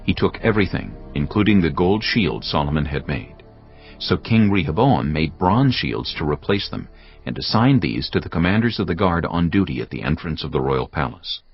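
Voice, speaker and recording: A man's voice: sits low, very animated, normal speed. A man narrating a dramatised audio Bible as a scripted, solemn story. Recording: dull band-limited audio with faint music.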